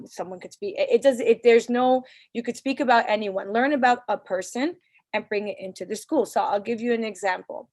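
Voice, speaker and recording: A woman's voice: mid-range voice, dry recording. Earnest New woman on a video call. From New York City. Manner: casual, earnest, with restarts. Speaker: parent council leader. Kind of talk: virtual community meeting talk.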